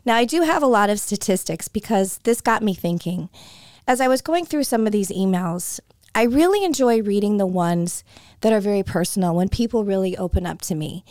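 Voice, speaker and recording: Hoarse woman hosting a podcast. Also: medium pitch, expressive, brisk, a little mellow, no echo, studio quality. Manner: chatty and conversational, with frequent restarts. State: hoarse, voice coming and going. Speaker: podcast host. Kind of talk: casual solo podcast talk.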